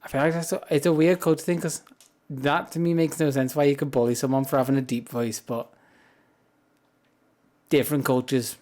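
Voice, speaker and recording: Deep, grumbly man streaming. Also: steady tone, moderate pace, long pauses. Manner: rambling, chatty, soft-spoken without projecting. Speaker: livestreamer. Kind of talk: casual livestream chat. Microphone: high-gain streaming mic up close.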